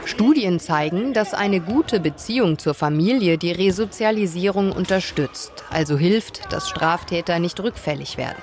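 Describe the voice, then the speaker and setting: Low-pitched woman narrating a radio reportage. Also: expressive, normal speed, hardly any pauses, crowd noise.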